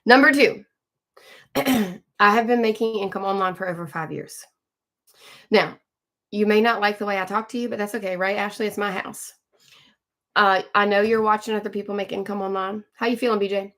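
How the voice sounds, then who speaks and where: A woman in her forties hosting a casual live stream from home, chatting with viewers, greeting them by name and often clearing her throat. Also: mid-range voice, steady tone, moderate pace, pauses often, a bit bright. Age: 40s. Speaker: livestream host.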